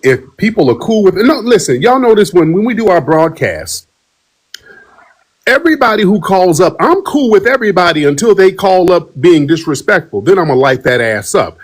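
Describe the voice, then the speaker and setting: A man's voice: high voice, sing-song, moderate pace. A man hosting a call-in broadcast, talking bluntly and emphatically in a streetwise way. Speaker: broadcast host taking calls. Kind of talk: heated call-in talk.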